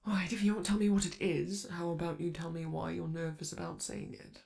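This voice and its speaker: A man's voice: a little high, sing-song, brisk, vocal fry, a little mellow. A man voicing a flamboyant, doting male robot star in a romantic ASMR roleplay, theatrical and coaxing, calling the listener darling. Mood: coaxing, flirty.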